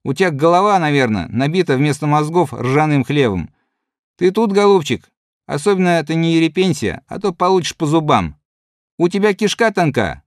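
Scornful young soldier, teasing and scolding with a lively voice, read by a man. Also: on the high side, moderate pace, no room sound, clean studio sound. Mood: mocking.

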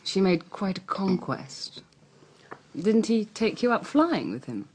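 An actress playing a worldly, quietly probing society woman with an English accent and a husky voice in a radio mystery. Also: mid-range voice, sing-song, takes it slowly, background noise. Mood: cool, curious.

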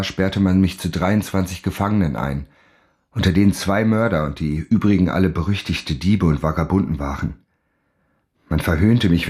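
An actor playing a proud, embittered convict who recounts his downfall in measured, formal phrases in a literary radio play. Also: low voice, natural voice. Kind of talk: dramatic first-person monologue in a literary radio play. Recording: studio radio play with music underneath.

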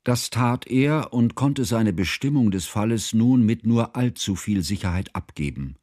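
Solemn storyteller, mellow lowish voice, mournful, articulate, literary radio-drama narration, dry close recording. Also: male voice, expressive, a little slow, no echo. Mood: grave.

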